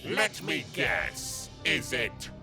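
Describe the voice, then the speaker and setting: A mad cartoon villain, gleefully menacing in a parody dub. He drags out his taunts slowly with big swings of pitch, over clearly audible music. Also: huge pitch swings, heavily acted. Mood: taunting menace. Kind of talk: comedic anime parody dub. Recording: background music under dialogue.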